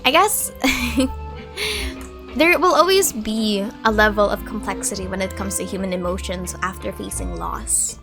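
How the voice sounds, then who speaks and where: A woman's voice: medium pitch, a little slow, over music. A woman giving casual gameplay commentary as a streamer, chatty, reflective and jokey. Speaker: gaming streamer.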